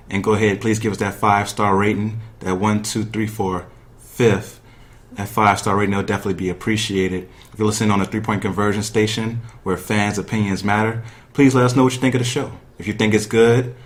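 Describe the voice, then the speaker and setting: A man hosting a sports podcast in a casual, chatty way, speaking directly to listeners and guests. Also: fairly low pitch. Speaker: podcast host. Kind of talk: casual sports talk with guests and sponsor reads.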